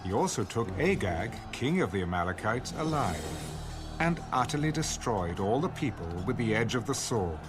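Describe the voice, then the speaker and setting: A man narrating a dramatised audio Bible in a measured, expressive storytelling manner. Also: medium pitch. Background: music bed.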